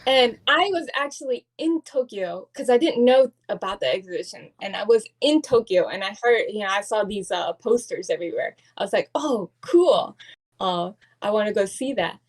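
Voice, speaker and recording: A woman's voice: medium pitch, expressive, normal speed. A woman, a guest artist, telling stories with animation in a casual podcast chat, with many fillers.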